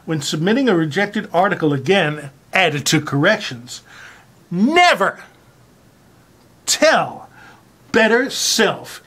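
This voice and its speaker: A person giving a mock-dramatic reading with theatrical, exaggerated emphasis and dramatic pauses. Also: medium pitch, big pitch swings, fairly bright tone, slightly rough.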